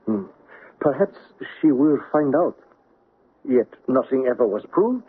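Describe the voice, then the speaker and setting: A wise old-radio confidant, very raspy and slow. He speaks formally and philosophically, each phrase measured, through a narrow lo-fi recording. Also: mid-range voice, big pitch swings. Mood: calm, probing. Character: sage, measured male confidant named Chung Lee. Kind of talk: radio drama acting.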